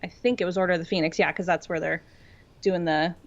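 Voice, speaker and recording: A slightly dull, lo-fi recording of a woman with a lowish voice, guesting on a podcast. She chats informally about pop culture, in a jokey and enthusiastic fan's way. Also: fairly even intonation, fast talker. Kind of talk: casual pop-culture chat.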